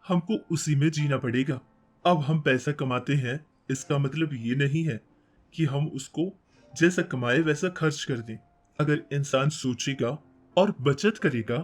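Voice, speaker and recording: A principled storybook father, played by a man, husky and somewhat high for a male voice. He gives patient, instructive advice to his child over faint music. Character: principled, thrifty engineer father advising his son.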